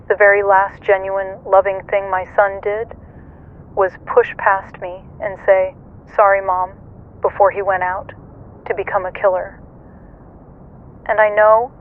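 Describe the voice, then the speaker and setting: A woman playing a grieving, guilt-ridden single mother giving halting, sorrowful testimony in a radio horror drama. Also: medium pitch, monotone, clear, resonant tone, narrow, tinny audio, close to an everyday voice. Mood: grieving, guilty.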